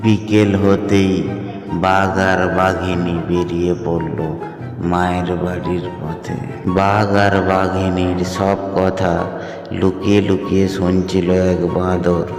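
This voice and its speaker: A man's voice: low voice, flat delivery, takes it slowly. A man narrating a scripted children's fairy tale in a storytelling role. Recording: echoey hall, loud music behind.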